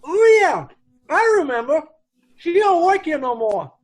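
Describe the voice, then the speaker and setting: An actor playing a brusque, chatty dance hall boss with folksy, blunt speech in an old-time radio police drama. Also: male voice, very high voice, very animated, a little slow, exaggerated voice. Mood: offhand.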